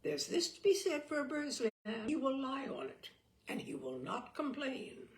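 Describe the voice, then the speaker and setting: A woman reading a literary short story aloud in an expressive, measured narrating style. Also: high-pitched. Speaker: story reader.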